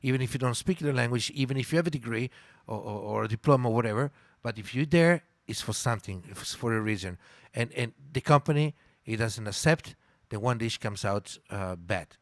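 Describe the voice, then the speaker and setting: A man with an Italian accent, a native Italian speaker, talking in a casual interview. He tells lively stories, repeats words and stops to search for English words. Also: medium pitch, a little fast, hardly any pauses, no room sound. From Italy. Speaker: guest.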